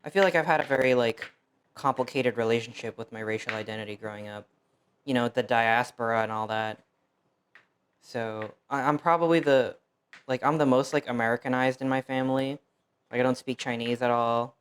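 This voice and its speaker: Brief: young male streamer, mid-pitched, rambling and self-deprecating, first language Fuzhounese. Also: fairly quick, a bit bright, smooth. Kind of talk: casual cooking stream chat.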